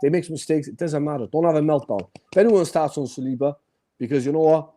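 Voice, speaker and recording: A man's voice: fairly high pitch, fast talker, mellow. A man with a London accent on a football fan live stream, joking and boastful, repeating his points emphatically. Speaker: fan channel host. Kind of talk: casual football fan chat.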